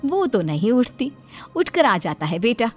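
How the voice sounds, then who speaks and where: A woman's voice: a little high, sing-song, a little slow, darkish tone, soft background music. A woman storyteller giving scripted narration for a cartoon moral story.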